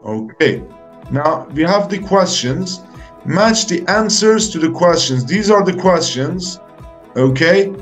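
The encouraging young man on an online beginners' English class, walking pupils through each exercise bit by bit, soft music under his slow voice. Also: sits high, expressive. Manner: deliberate, short phrases with pauses, encouraging. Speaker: English teacher hosting a video lesson. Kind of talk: slow, step-by-step instructional talk for beginners.

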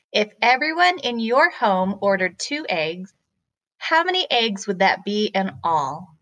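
A woman, a math teacher, explaining step by step to children in a deliberate, questioning way. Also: medium pitch, sharp tone.

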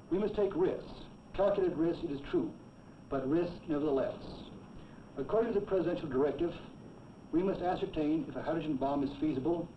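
An American man in his fifties delivering a formal, emphatic and deliberate briefing as a director. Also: on the high side, a little hiss or hum. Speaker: laboratory director. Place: indoor meeting room. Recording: echoey, distant, muffled old film soundtrack.

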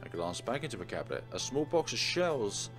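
A man's voice: medium pitch, sing-song, a little fast. A man hosting a let's play, reading a visual novel aloud dramatically with casual commentary. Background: game music.

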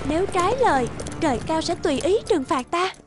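A woman voicing a naive, gentle young princess in an animated fairy tale, speaking in an earnest, soft-hearted way. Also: very high voice, brisk. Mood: earnest, hopeful. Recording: music under the voice.

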